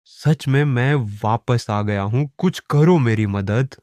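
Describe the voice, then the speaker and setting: A man's voice: medium pitch, takes it slowly, dark tone, no room sound, natural voice. A man voicing a hapless, cheeky village priest in a cartoon, with a theatrical, pleading manner. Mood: worried, pleading.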